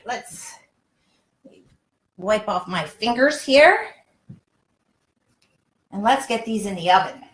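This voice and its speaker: Higher-pitched older woman hosting a cooking livestream. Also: a little hiss or hum, low-quality recording. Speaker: host of a live cooking and nutrition show. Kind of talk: chatty instructional livestream. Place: home kitchen.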